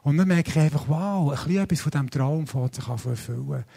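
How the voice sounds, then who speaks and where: A man in his forties with a Swiss German accent, preaching a sermon in a casual, lively, self-deprecating way with many fillers. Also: a little high, fast, vocal fry, breathy edge. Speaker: pastor. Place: church service.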